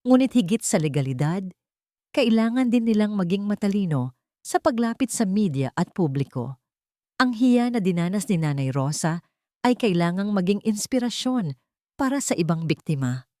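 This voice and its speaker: A woman's voice: mid-range voice, expressive, moderate pace, warm tone, no echo, studio quality. A woman reading a scripted, dramatic romantic story as a narrator.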